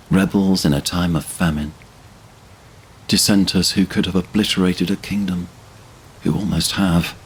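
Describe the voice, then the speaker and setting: A man narrating a fantasy audio drama in a solemn, measured manner. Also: deep voice, a little hiss or hum.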